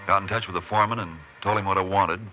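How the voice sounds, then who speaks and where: A man's voice: deep voice, steady tone, very fast, close to an everyday voice. An actor playing a terse, determined Texas Ranger lawman in a 1950s radio western. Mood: grim, determined. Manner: terse, matter-of-fact. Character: steady, determined Texas Ranger tracking a killer. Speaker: lead actor and narrator. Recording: noisy muffled 1950s radio recording.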